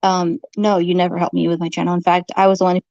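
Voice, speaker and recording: A woman arguing informally in an accusatory, halting way, repeating her phrases, on a slightly dull recording. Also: lowish voice, flat delivery, gravelly, darkish tone, no echo. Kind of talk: informal argumentative monologue to camera.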